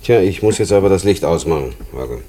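An actor in a German radio play voicing a weary, apologetic prison inspector who speaks in a subdued, plain, hesitant way. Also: male voice, low-pitched, lively intonation, fast, mellow. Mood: subdued, awkward.

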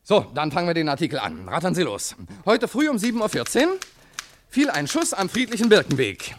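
An actor playing an excitable, bossy local newspaper editor in a German radio play, blustering and impatient, often stopping and starting as he thinks aloud. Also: sits high, fairly quick, a little hiss or hum. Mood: brisk, searching.

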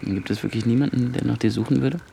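A man's voice: medium pitch, steady tone, fast, creaky, dark tone, slight background noise. An actor playing a menacing, controlling man in a radio play, with a terse, taunting and probing way of questioning.

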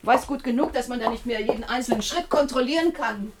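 A self-possessed female scientist played by a woman in a radio play. She sounds intelligent and somewhat weary under pressure, at a natural female pitch. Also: brisk, noisy background, lightly acted. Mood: defensive. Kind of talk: radio drama acting.

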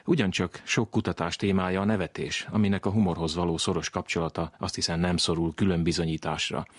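A man with a very low, slightly husky voice narrates a radio science feature in a measured, scripted way. The sound is close and dry, with no room around it. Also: steady tone, dry recording. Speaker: science program presenter.

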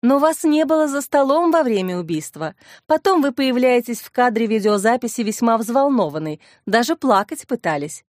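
A composed female investigator in a crime audiobook, read by a woman narrator. She speaks in a rather high voice, polite but pointed and sure of herself. The sound is dry. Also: sing-song, moderate pace, hardly any pauses, no room sound. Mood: satisfied, accusing.

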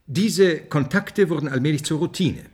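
An actor in a German radio play, playing a brash, hot-tempered official who talks with sarcasm and contempt in a husky voice. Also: male voice, on the high side, normal speed, darkish tone. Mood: defensive. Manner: sarcastic, blustering, coarse.

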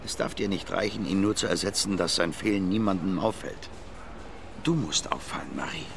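Smooth schemer, deep voice, condescending, dry, measured, radio drama. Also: male voice, expressive. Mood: urging, insinuating. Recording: music or ambient noise behind.

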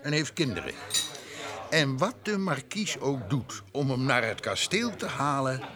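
A matter-of-fact bloke in a radio play, medium pitch, chatter and cutlery behind. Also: male voice, big pitch swings, a little slow. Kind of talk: Dutch radio drama acting.

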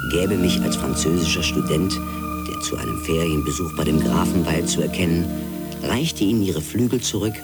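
Dreamy storyteller, deep voice, widely swinging intonation, solemn, incantatory, fantastical radio play monologue. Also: male voice. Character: dreamy male storyteller spinning dark, fantastical visions.

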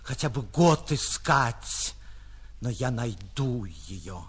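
A man's voice: mid-range voice, sing-song, slow, husky, exaggerated voice. A male actor playing an anxious, desperate musician in a fretful, comically tragic manner. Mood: anguished, resolute. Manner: melodramatic, fretful.

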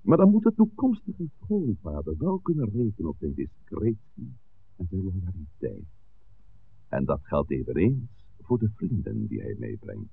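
Very deep, creaky old village priest. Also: male voice, huge pitch swings, heavily acted. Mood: smooth, firm. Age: older. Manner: deliberate, eloquent, theatrical. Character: shrewd old village priest, genial and slyly persuasive. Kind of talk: 1960s Dutch radio play acting. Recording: tinny, band-limited old radio recording, hiss.

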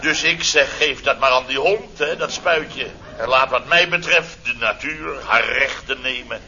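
An actor playing a blustering, pompous company boss in a radio comedy, speaking theatrically and indignantly with drawn-out emphasis. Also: male voice, mid-range voice, bright, forceful tone. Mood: aggrieved. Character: blustering, pompous male boss of a building firm. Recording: dull old radio recording, faint noise.

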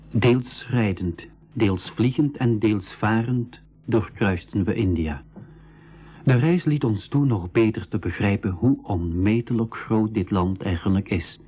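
Measured, reflective travel narrator played by a man, lowish voice, radio serial storytelling, slightly dull sound. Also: lightly acted. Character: boy narrator recounting his travel adventures, measured and reflective. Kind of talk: scripted narration in a school radio drama. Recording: dull 1980s radio recording.

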